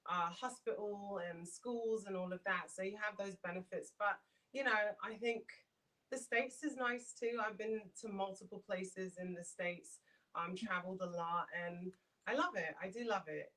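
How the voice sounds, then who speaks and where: A woman's voice: mid-range voice, sharp tone, recorded from a distance, rough recording. A woman from London with a London English accent, chatting casually as a guest in an interview. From London, England. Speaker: podcast guest.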